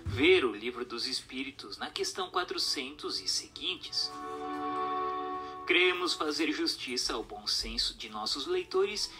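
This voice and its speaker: A man reading a formal text as scripted narration in a measured, didactic manner. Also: medium pitch, animated. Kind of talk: scripted audiobook-style narration of a spiritist text. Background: music.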